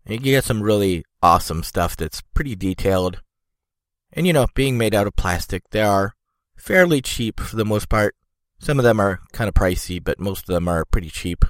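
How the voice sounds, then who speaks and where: A congested, stuffed-up man rambles through a casual podcast monologue in a low voice. His talk drifts, full of "you know" and "like." The sound is dry, with no room echo. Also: lively intonation, normal speed. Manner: meandering, lots of "you know" and "like". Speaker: podcast host.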